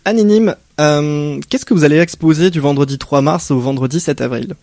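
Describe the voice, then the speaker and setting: A man with a fairly high voice hosts a local radio interview, pausing often with fillers as he moves between guests. The sound is dry and close, with no room around it. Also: darkish tone, no room sound. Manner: hesitant, frequent fillers. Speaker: radio host.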